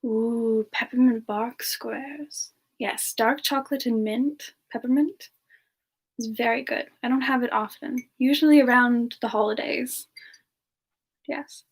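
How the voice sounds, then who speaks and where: Woman acting as gentle young female demon, sweet and chatty, semi-ASMR stream style, higher pitch, very unhurried, hesitant pauses. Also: fairly even intonation, breathy edge. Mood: gentle, friendly. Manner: gentle, hesitant, pausing often mid-sentence. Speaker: host of a live Q&A stream. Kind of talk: semi-ASMR, casual in-character chat with viewers.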